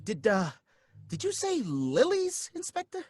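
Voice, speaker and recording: An actor playing a nervous, stammering cab driver who turns out to be a ghost, in an old-time radio drama. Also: male voice, extremely high pitch, huge pitch swings, measured pace, darkish tone, dry recording, studio quality, heavily acted. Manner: folksy, anxious, stammering.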